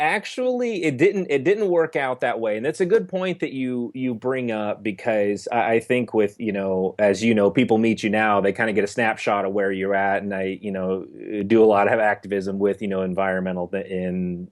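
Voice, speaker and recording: Deep voiced young guy from norcal, lots of "you know"s, relaxed interview answers. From Northern California. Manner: halting, full of "you know" fillers and restarts. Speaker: interview guest. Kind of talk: casual interview conversation.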